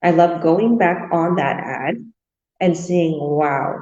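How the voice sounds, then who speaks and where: Brief: low-pitched woman from Canada, chatty live-stream host who gushes and laughs, dull lo-fi audio. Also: mellow. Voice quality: laughs often, gushing. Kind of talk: casual live stream chat.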